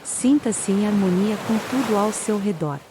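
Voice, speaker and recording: A Brazilian woman narrating a guided meditation in a calm, soothing way with long pauses. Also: medium pitch, noisy background. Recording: ocean waves behind the voice. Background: sound of sea waves.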